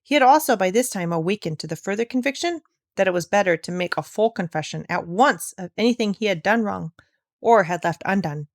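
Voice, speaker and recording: A woman's voice: medium pitch, expressive, brisk, no echo, clean studio sound. A woman reading a classic children's story aloud as scripted narration. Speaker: audiobook reader.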